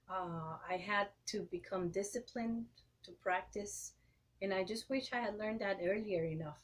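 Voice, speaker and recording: A woman in her thirties from Costa Rica, speaking English with a Costa Rican Spanish accent, explaining things in an interview and clearing her throat often. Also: mid-range voice, unhurried, rough recording. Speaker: guest, opera singer and educator. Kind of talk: interview answers.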